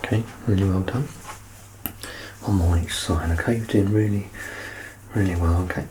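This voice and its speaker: A soft-spoken ASMR performer playing a clinician in an exam roleplay, gently reassuring and instructing in a hushed voice that often drops to a whisper. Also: deep voice, moderate pace, background noise.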